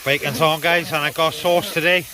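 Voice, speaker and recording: A man with a bright, punchy voice in a middling register talks casually to his viewers like a vlogger. He is outdoors by his car, and the delivery is informal and forward. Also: fairly high pitch, fairly even intonation, moderate pace, slight background noise, low-quality recording. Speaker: vlogger out with CB radio. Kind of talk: casual vlog chat. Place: outdoors beside a parked car.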